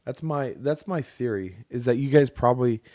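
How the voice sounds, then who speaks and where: A man's voice: mid-range voice, normal speed, dark tone, no echo, clean studio sound. A man co-hosting a casual podcast from home, blunt and teasing as he reads out poll results. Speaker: podcast co-host. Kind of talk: casual chat.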